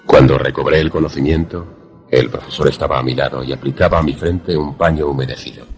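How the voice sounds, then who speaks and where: Man acting very deep, gravelly, haunted narrator. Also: fairly even intonation, soft background music, full character voice. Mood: solemn, suspenseful. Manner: solemn, measured, dramatic. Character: haunted, lovelorn former student, grave narrator. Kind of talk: first-person suspense radio drama narration.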